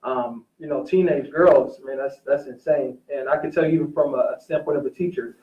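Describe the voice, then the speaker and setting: A man in a casual discussion, agreeable, choosing his words in a measured, somewhat hesitant way. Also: medium pitch. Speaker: teacher, talk show guest or co-host. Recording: roomy, dull, distant-mic recording.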